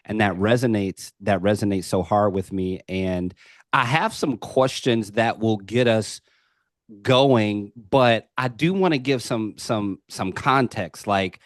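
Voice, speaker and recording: A man's voice: fairly low pitch, slow, dry recording, clean studio sound. A man hosting a podcast, welcoming his guest with enthusiastic, looping casual talk. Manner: enthusiastic, repeats words, frequent likes. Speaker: podcast host. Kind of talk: casual interview.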